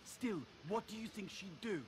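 A stern mercenary in an action game, acted by a man, admonishing a comrade. His voice is a little higher than most men's, with clear noise behind it. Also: normal speed, lightly acted. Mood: stern warning. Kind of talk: video game voice acting.

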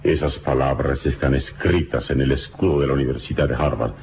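A man playing a suave, calm, confident adventurer hero in an old radio drama, speaking in a measured, courtly, theatrical way. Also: very deep voice, a little hiss or hum. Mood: warm, courtly. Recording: dull old radio broadcast recording. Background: dramatic music.

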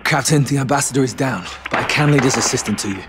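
A man's voice: medium pitch, quick pace, lightly acted. A man playing a tense young soldier in a video game, speaking in urgent, clipped phrases. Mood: tense, frustrated. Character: tense young male soldier under fire. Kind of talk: video game cutscene acting. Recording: loud gunfire and battle noise.

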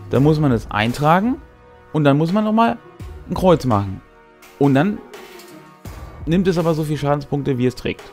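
A man's voice: on the high side, very animated, moderate pace, long pauses, a little mellow. Casual wry man hosting, music behind. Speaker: host. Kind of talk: casual talk to camera about a tabletop role-playing game. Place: small brick-walled game room indoors. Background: background music.

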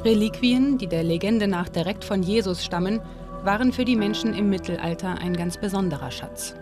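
A woman's voice: mid-range voice, moderate pace. Woman narrating over music. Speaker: documentary narrator. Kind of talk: scripted narration. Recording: studio voice-over with clear music underneath.

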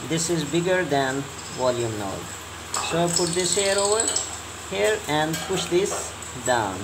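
Slow, low-voiced woman over loud noise. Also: lively intonation. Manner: halting, short simple phrases. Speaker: repair tutorial presenter. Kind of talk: step-by-step hands-on instruction. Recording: loud background noise, bird and animal sounds.

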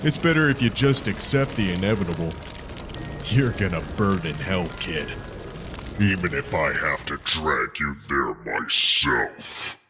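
A man's voice: low voice, sing-song, normal speed, a little hiss or hum. A man playing a sardonic, grimly resigned guardian in a dramatic fan dub, teasing as he goes. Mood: grimly defiant. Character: wry, taunting male guardian, grimly resigned, loves stalling. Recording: loud music behind the voice.

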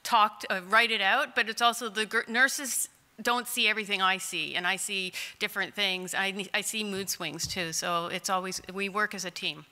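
A woman in her forties, a clay artist, answering audience questions in a chatty, candid way with a loud voice and frequent laughs. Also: medium pitch, fairly even intonation, normal speed. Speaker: clay artist in long-term care. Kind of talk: conference Q&A answers. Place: conference hall. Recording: distant in a large reverberant hall.

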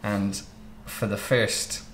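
A man in his thirties from Southwest England hosting a relaxed interview, chatting and explaining at length. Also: fairly low pitch, slow pace, reverberant, slight background noise, poor audio. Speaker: interview host, wedding photographer. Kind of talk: casual interview. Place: home studio office, indoors.

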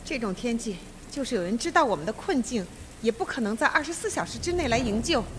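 A woman playing a composed, authoritative judge in a Mandarin radio drama, speaking in a measured, probing way. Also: fairly high pitch, lively intonation, fairly bright tone, a little hiss or hum. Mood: cool, commanding.

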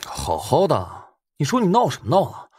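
A man's voice: a little high, huge pitch swings, moderate pace, a little mellow, slightly rough, no echo, heavily acted. A man playing an easygoing, hesitant working man and loyal buddy, talking colloquially in an audiobook drama.

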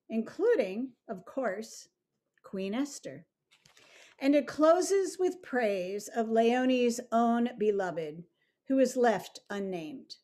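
Slow, animated older woman commentator. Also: on the high side. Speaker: narrator and commentator. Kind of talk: scripted scholarly commentary. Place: living room at home, indoors. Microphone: video call microphone.

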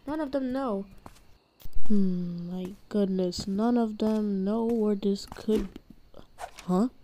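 A woman's voice: medium pitch, mellow. A woman voicing a frustrated, obsessive cartoon stick-figure inventor in an improvised comedic fan dub, muttering and swearing. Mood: frustrated, astonished. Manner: muttering, profane. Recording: music playing behind the voice. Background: background music.

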